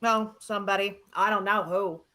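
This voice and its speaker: Sharp, curt boarding house woman played by an actress. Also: mid-range voice, fairly even intonation, normal speed, natural voice. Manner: blunt, colloquial. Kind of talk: vintage radio drama acting.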